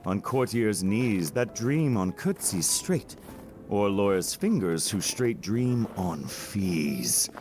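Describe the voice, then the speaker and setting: A witty, feverishly fanciful young man, played by a male actor in a Shakespearean audio play. He takes his time, teasing and theatrical, his lowish voice swinging widely in pitch as he spins a tale. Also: a little mellow. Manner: theatrical, storytelling, swooping delivery.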